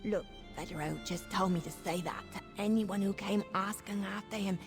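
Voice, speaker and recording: A woman's voice: medium pitch, moderate pace, music in the background, close to an everyday voice. An actress playing a drunken female squatter in a fantasy video game, rambling, stumbling over words and lying badly. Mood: tipsy, muddled. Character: tipsy, rambling female squatter, chatty shameless bad liar. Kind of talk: video game character dialogue.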